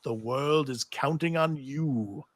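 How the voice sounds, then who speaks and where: Man voicing bitter, slow-talking fantasy oracle. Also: medium pitch. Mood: sly, urging. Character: Orpheus, bitter urgent male head scolding his companions. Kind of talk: live table read of a TV fantasy script.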